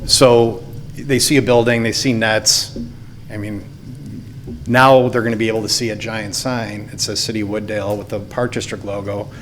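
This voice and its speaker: A man with a loud voice, a golf course manager giving casual, upbeat testimony at a city hearing from a podium microphone. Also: fairly low pitch, level tone, moderate pace, a little hiss or hum. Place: council chamber.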